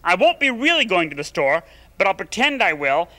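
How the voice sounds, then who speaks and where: A man's voice: high voice, very animated, a little fast, sharp tone, in a large hall, heavily acted, poor audio. A man voicing a fussy, easily exasperated male puppet roommate who pleads and loses his temper. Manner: fretful, pleading, prone to frantic outbursts. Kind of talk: children's TV puppet comedy.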